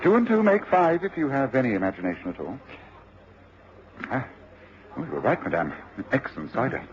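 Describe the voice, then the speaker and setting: A man playing a shrewd, self-assured gentleman detective with a precise, knowing manner in a radio drama. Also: mid-range voice, sing-song, a little fast, natural voice. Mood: dryly confident. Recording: dull, noisy radio recording.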